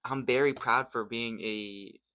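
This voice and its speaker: A man's voice: mid-range voice, fairly even intonation, measured pace, pushed and bright, no room sound. A young American man giving casual vlog commentary in an earnest, rambling, slangy way. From United States. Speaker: YouTube host.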